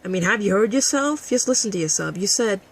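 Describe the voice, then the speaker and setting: A woman with a raspy, husky voice, ranting combatively and with a lot of profanity at a critic in a vlog. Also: medium pitch, lively intonation, brisk. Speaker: vlogger. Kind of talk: addressing a critic to camera.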